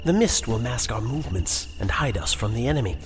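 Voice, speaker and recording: A man voicing a weary younger-brother elf in a fantasy audio drama, speaking in an animated, exasperated way. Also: mid-range voice, brisk, soft background music, pitched above the performer's own voice, lightly acted. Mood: urgent.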